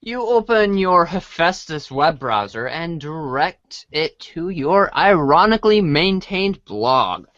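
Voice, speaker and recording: Slow, halting higher-voiced male narrator. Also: big pitch swings, a bit bright, no echo, dull audio. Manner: halting reading with stumbles. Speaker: co-host and narrator. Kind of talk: storybook read-along narration.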